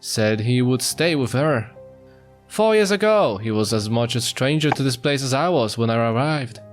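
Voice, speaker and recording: A man narrates a visual novel in a very animated, up-and-down delivery over background music. He reads the story casually and slips into jokey, mock-apologetic asides. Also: medium pitch, normal speed. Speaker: host reading a visual novel aloud for a video. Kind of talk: casual let's-play narration, reading story text aloud with joking asides. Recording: music behind the voice.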